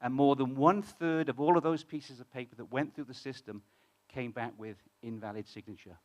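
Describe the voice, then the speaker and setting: British male speaker, mid pitch, chatty conference presenter who restarts phrases and talks to the crowd. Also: steady tone, a little fast, darkish tone. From United Kingdom. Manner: conversational, many restarts, asks the audience questions. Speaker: fintech founder presenting on stage. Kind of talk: conference talk to a live audience. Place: conference hall with audience.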